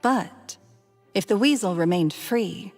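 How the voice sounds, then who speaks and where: A woman's voice: mid-range voice, animated, slow, darkish tone. An actress playing a sweetly evasive, calculating young woman in a video game, politely mocking. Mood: coolly mocking. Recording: game audio with reverb and music.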